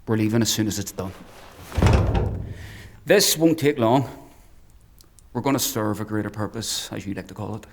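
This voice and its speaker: An actor playing a menacing, fanatical hunter in a dark fantasy audio drama, speaking in a deliberate, taunting, theatrical way. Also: male voice, fairly low pitch, slight background noise, natural voice. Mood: controlled menace. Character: menacing male fanatical hunter, coldly articulate, mocking.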